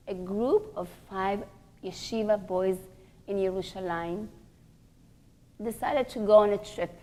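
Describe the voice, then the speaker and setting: A woman religious teacher giving a sermon-like lecture through stories, speaking deliberately and repeating phrases for emphasis. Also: mid-range voice, a little mellow.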